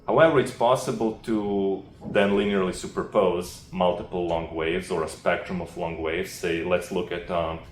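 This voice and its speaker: A man answering academic questions after a research talk, explaining off the cuff in a halting, thinking-aloud way. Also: sits low, steady tone. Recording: roomy echo with faint noise.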